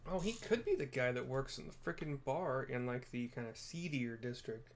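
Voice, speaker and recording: A man's voice: medium pitch, animated, normal speed, slight background noise. A man giving casual commentary on a video game playthrough, thinking aloud as he narrates the scenes.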